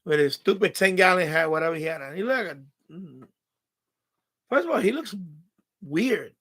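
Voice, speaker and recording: A man's voice: high-pitched, animated, moderate pace, fairly bright tone, slightly rough. A man hosting a live stream in casual, rambling, unfiltered chat with his viewers. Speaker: livestream host.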